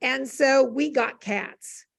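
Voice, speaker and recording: An older American woman, a panel guest, telling casual stories in an animated way and acting out remembered dialogue. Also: high-pitched, takes it slowly, creaky. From United States. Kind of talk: casual anecdotal storytelling.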